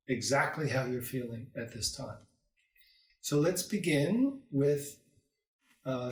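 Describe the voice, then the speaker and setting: Slow-paced man guiding meditation, roomy echo. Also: mid-range voice, a little hiss or hum. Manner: calm, soothing, with long pauses between short phrases. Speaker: meditation teacher. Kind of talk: guided meditation. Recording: roomy echo, distant microphone.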